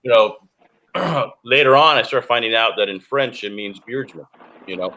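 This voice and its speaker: A man's voice: mid-range voice, moderate pace, pushed and bright, rough recording. A man, son of Cuban parents and a beard company owner, talking casually on a group video call and rambling with many restarts. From United States, Cuban parents.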